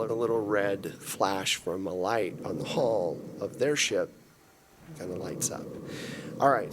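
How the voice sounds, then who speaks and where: A man narrating an improvised tabletop game as game master in a casual, halting way. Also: fairly low pitch, background noise. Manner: casual, halting descriptions full of "like".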